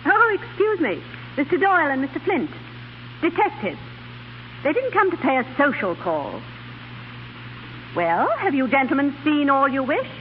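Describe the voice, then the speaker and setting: A cool, formal society wife, with a high voice. Her 1930s radio lines ring with long reverb on a muffled recording. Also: very animated, normal speed, pauses often, a little hiss or hum. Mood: icy politeness. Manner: crisply polite, formal. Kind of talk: 1930s radio drama acting.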